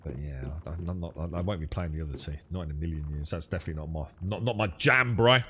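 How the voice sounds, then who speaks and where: A man's voice: sits low, fast, fairly bright tone, a little hiss or hum. A young man streaming games, chatting with friends in a blunt, sweary, dismissive way. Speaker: gaming streamer. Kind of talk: casual gaming stream chat.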